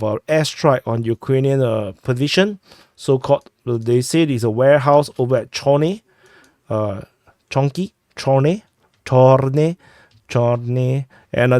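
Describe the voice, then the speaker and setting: A man's voice: mid-range voice, a little mellow, no room sound, clean studio sound. Man with a cold giving map briefings. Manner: halting, many fillers and restarts. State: sick, clearing throat. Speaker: war news host. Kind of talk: map briefing over a war update. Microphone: close mic.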